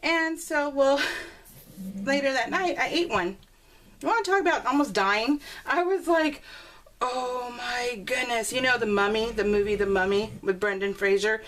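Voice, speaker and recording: A woman chatting casually and rambling as a craft video host, giggly and self-deprecating, telling stories with animated exclamations. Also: on the high side, measured pace. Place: at home, voice recorded live while crafting. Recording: noisy home recording with clicks.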